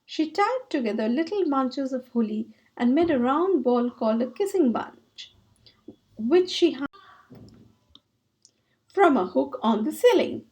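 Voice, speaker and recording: A woman reads a children's story aloud. Her voice is high, with lively, sweeping intonation suited to a picture book. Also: unhurried, pauses often, poor audio.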